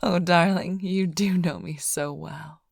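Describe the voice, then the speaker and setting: A woman playing a flirtatious, smug vampire thief in an intimate ASMR roleplay, teasing and sly in a breathy, often whispered voice. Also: on the low side, steady tone, measured pace, dry recording, clean studio sound, full character voice. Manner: teasing, purring, theatrically sly. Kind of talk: ASMR roleplay, intimate close address to listener.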